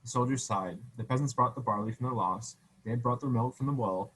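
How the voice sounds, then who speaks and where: A man's voice: medium pitch, a little fast, a bit bright, a little hiss or hum, low-quality recording. Man reading a storybook aloud. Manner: casual, stumbling, self-correcting. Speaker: reader.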